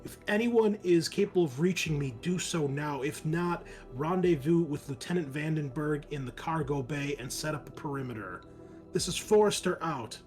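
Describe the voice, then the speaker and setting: A man's voice: a little high, normal speed, darkish tone, soft background music, voice pushed up. A man playing a commanding female starship captain giving a formal, urgent military announcement in a tabletop game.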